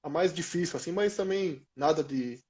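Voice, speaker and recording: A Brazilian man in his thirties talks casually in an interview, with a slightly high voice. His Portuguese is informal and full of slang, told like stories to friends. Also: moderate pace, a little mellow. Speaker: former professional footballer being interviewed.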